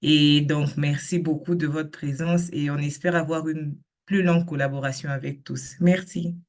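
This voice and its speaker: A woman's voice: low voice, steady tone, normal speed, a little mellow. A woman from the Democratic Republic of the Congo, a technician giving a polite, slightly hesitant self-introduction.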